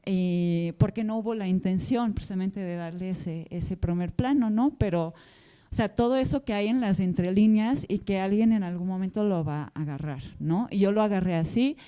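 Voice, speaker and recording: A woman's voice: medium pitch, moderate pace, mellow, smooth. A woman from Portugal moderating a literary panel, speaking reflectively and discursively, often checking in with short tag questions. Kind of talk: reflective spoken question with personal anecdote at a public talk.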